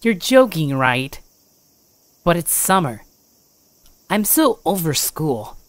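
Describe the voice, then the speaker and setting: Woman as a bored, low-voiced teenage boy. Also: sing-song, slow pace, no room sound. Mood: bored, whiny. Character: bored, whiny fourteen-year-old small-town boy. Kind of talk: scripted audio drama acting.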